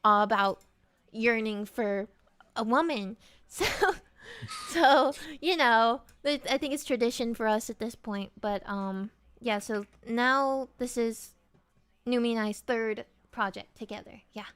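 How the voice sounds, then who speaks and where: A bubbly young woman chats about her music, laughing often and swearing freely. Her voice is smooth and clear, pitched a little high for a woman. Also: unhurried, fairly bright tone. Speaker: singer, guest. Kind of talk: casual chat.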